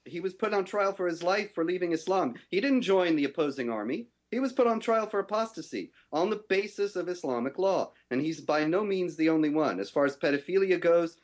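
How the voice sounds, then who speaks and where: A man giving a firm, measured rebuttal in a televised debate, often pausing on "uh". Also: sits high, lively intonation. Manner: measured, firm, many uh fillers. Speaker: debate guest.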